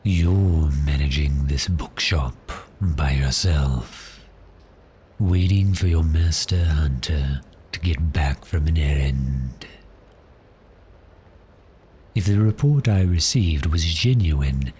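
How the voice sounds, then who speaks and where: An extremely deep-voiced male vampire, acted by a man toys politely with his prey in an ASMR roleplay. He speaks very slowly and deliberately, with courteous menace. Faint ambient noise sits behind the voice. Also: warm tone. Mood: calm, quietly gloating. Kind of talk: slow ASMR roleplay acting.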